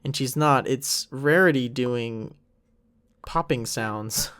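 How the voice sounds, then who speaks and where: A man's voice: medium pitch, slow, a bit bright. A man giving a casual, rambling commentary track as creator of the series, thinking aloud with music behind him.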